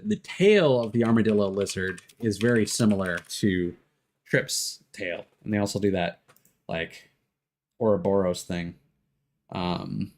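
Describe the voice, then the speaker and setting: A man's voice: low voice, a little mellow. A man doing casual, rambling livestream commentary over a video game, thinking aloud as he goes. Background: music behind the voice.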